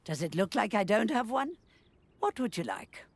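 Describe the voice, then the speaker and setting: A woman's voice: medium pitch, sing-song, moderate pace, no echo. A woman playing a bitter, sardonic, foul-mouthed old granny who scolds bluntly, as acted in a video game cutscene. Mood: bitter, commanding. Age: older.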